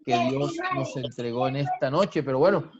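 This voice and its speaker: A man, a pastor, leads prayer and greets viewers with fervent, repeated blessings. His voice sits at an ordinary middle pitch. It comes through a dull, muffled online stream. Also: moderate pace, over a noisy crowd. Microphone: video call.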